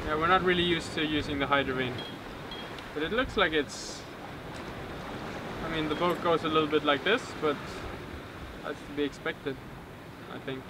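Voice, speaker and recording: A man's voice: a little high, moderate pace, long pauses. A man with a Swedish accent chatting casually as a sailing vlogger. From Sweden. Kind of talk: casual vlog chat. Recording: clear outdoor and vehicle noise.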